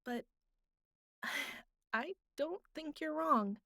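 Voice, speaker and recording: Woman acting a high, slow-talking bratty, vulnerable girlfriend type. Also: no room sound, clean studio sound, full character voice. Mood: soft, content. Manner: halting, pleading, pouty, soft breathy asides. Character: bratty, vulnerable young woman held captive by her admirer. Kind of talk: intimate audio roleplay addressed to the listener.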